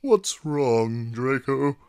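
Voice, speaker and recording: A man voicing an overdramatic, foul-mouthed teenage goth vampire girl in a drawn-out, exaggerated way for a story reading. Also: medium pitch, animated, a little mellow. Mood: dramatic, gushing.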